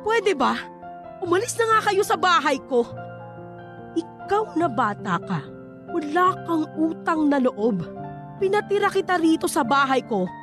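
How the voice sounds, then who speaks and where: Very shrill, scolding Filipino mother. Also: sing-song, measured pace, over music, exaggerated voice. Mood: scornful. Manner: scolding, belittling, hot-tempered. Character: abusive, lazy, drunken mother, bitter and scornful. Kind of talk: Filipino radio drama acting.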